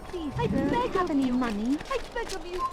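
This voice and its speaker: Desperate female street beggar for a game: high, swooping, pleading wail over loud music. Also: big pitch swings, normal speed. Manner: pleading, wailing. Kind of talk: video game voice acting.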